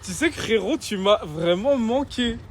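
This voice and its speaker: A man's voice: high voice, animated, moderate pace. A young man in a comedy sketch, gloating and mocking his friend with laughter in his voice. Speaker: teasing friend.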